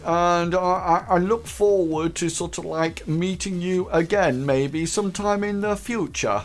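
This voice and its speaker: Eager, stammering recruit, played by a man; high-ish. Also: unhurried, faint music behind. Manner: stammering, hesitant. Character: nervous, eager young male recruit.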